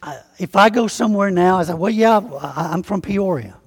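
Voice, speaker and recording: A Southern-accented pastor preaches in a relaxed, folksy way, slowing to lean on key words. His man's voice sits high with a husky edge. It rings out with a long reverb, as if in a large church. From Alabama, US. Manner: folksy, conversational preaching with slow emphatic spelling-out. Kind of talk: sermon.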